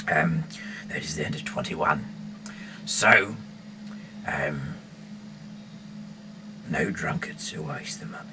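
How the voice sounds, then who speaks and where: A man with a very low voice and an English accent reads aloud in a loose, halting way, wandering into casual asides. The homemade recording has clear noise behind him. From England. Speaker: amateur Bible reader and rock singer.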